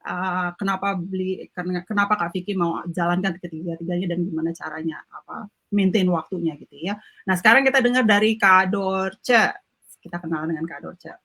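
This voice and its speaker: A woman's voice: fairly low pitch, fairly even intonation, brisk, fairly bright tone. A woman hosting an online group chat, chatty and friendly, mixing English words into her talk. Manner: friendly, chatty, laughs easily. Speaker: host.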